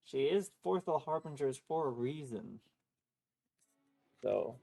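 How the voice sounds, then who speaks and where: A male game streamer talking casually and reacting live to a story. His voice is mid-pitched, with music clearly playing behind him. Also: animated, slow pace, warm tone.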